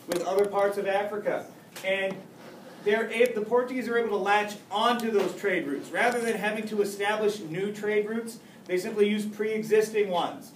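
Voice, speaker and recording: A male high school history teacher gives a chatty lecture, cracking jokes and restarting his sentences. His voice is a touch high. It was picked up from far across an echoey classroom, with a faint hum behind it. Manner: joking, chatty, with many restarts. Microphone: camera far across the room.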